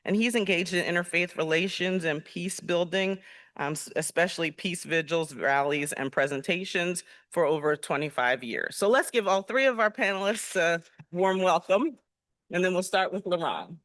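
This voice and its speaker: A man who moderates the panel, reading formal introductions of the speakers at a steady pace. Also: high voice, normal speed, no echo.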